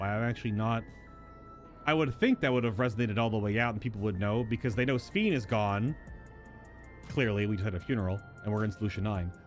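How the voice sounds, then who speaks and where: A man streaming a video game, chatting and musing aloud as he gives live commentary on the story. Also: mid-range voice. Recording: music behind the voice. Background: video game music.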